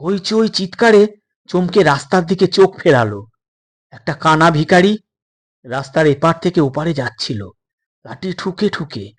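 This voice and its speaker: A man narrating a suspense story in a dramatic, deliberate way, breaking sentences into short phrases with pauses. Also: fairly high pitch, lively intonation.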